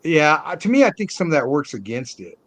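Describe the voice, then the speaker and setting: A man's voice: a little high, big pitch swings, brisk. A man hosting a movie talk show in a casual, conversational style.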